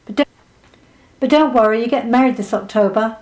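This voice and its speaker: A woman's voice: fairly high pitch, a little fast, a little mellow, natural voice, poor audio. Woman doing an older psychic lady in a storybook, blunt and theatrical, chatty dearie talk, pronouncing your fate. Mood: knowing. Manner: colloquial, pronouncing, calls listeners dear.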